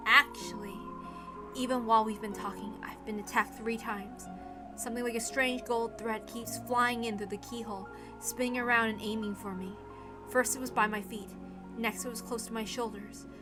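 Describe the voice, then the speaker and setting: A woman voicing a resigned, dispassionate woman in a story reading, speaking in a measured, calm way. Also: on the high side, over music, lightly acted.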